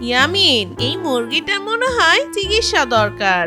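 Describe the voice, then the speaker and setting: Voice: very high voice, very animated, moderate pace, music in the background. A performer voicing a practical village woman in a children's cartoon, speaking in a matter-of-fact, instructing way. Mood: gently concerned. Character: practical village woman, mother or farm owner. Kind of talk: children's moral cartoon dialogue.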